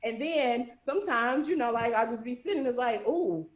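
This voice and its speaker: A woman hosting a casual video call as a series writer, chatting in an animated, effusive, slangy storytelling way. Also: on the high side, normal speed. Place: indoor room on a video call. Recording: echoey room over video call.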